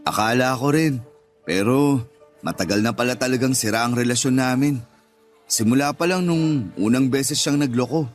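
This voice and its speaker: A man with a Filipino accent playing a loyal, soft-hearted friend in a radio drama, talking earnestly. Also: medium pitch, moderate pace, faint music behind, close to an everyday voice. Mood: hurt, earnest.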